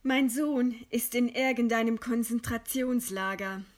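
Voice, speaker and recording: An actress playing a proud, composed dissident writer in a German radio play, speaking with measured, scornful eloquence. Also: female voice, a little high, lightly acted. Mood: guarded, composed. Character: condemned dissident woman writer, widowed, proud, defiant, composed.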